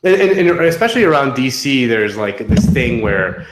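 A man in his thirties chatting casually and telling anecdotes as a podcast guest, in a halting, self-mocking way full of fillers. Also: mid-range voice, big pitch swings. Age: 30s. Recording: roomy echo.

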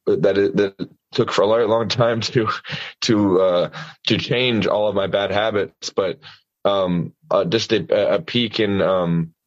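A man's voice: lowish voice, steady tone, unhurried, dull audio. A male singer talking casually and earnestly about voice care, often restarting his sentences as he gives practical advice. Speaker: singer, guest. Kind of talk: casual conversation.

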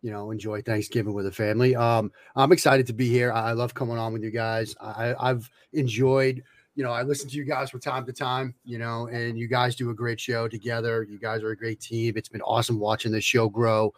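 Dry, analytical podcast guest. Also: male voice, mid-range voice, flat delivery, a little fast, no room sound. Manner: analytical, many fillers and restarts. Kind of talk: casual podcast conversation.

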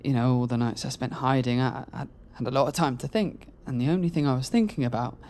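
A man's voice: mid-range voice, fast talker, darkish tone, lightly acted. Actor as jittery lovesick young guy in an audio drama, earnest, hesitant, bit of background noise. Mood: earnest, pleading.

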